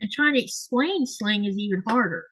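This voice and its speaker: A woman's voice: medium pitch, animated, normal speed, a bit bright, a little hiss or hum, rough recording. A woman with a Southern US accent, the host, chatting casually while she sews. She rambles, corrects herself and uses lots of fillers. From Florida, US. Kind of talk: casual chat while sewing, reading comments. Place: home craft room.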